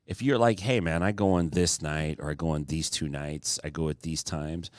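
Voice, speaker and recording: A man's voice: extremely low pitch, fairly even intonation, normal speed, no echo, studio quality. A middle-aged man co-hosting a podcast in casual banter, joking and putting on voices when he quotes people. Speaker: podcast co-host.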